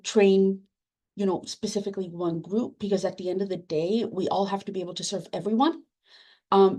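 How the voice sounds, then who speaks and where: A woman's voice: mid-range voice, warm tone. Earnest woman with many fillers. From immigrant, first generation. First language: Spanish. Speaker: book editor on a panel. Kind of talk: panel discussion answer.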